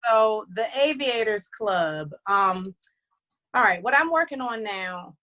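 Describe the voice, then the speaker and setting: A woman's voice: medium pitch, expressive, slow, sharp tone. A woman recording artist who also runs a label, talking loosely and chattily in a casual interview, with many fillers and restarts.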